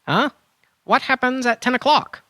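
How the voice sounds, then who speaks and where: A woman's voice: high voice, a little slow, pushed and bright, dry recording, clean studio sound. A woman playing an overconfident, oblivious treasure-hunting hero in a comedic children's audio drama, earnest and upbeat. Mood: clueless, eager. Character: Chattanooga, cheerfully clueless, overconfident treasure-hunting adventurer hero.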